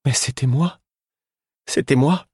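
A man's voice: mid-range voice, slow pace, darkish tone, breathy edge, no echo, studio quality, voice pushed up. A man voicing a distraught male royal fool in an audiobook, speaking in a pleading, anguished way.

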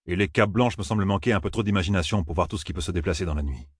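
A man's voice: low voice, very fast, husky, no room sound. A man voicing a stoic male warrior who speaks in a dry, assured manner.

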